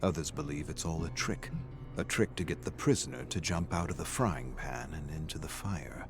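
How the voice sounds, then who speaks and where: Male narrator, very low register, measured scripted storytelling of journal entries, music bed behind. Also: lively intonation, vocal fry. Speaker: reader of game lore entries.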